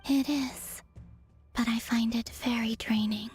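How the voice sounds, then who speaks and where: An actress playing a weary, melancholy moon goddess in a video game, breathy and whispery, speaking with slow resignation. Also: female voice, fairly high pitch, steady tone, darkish tone, soft background music.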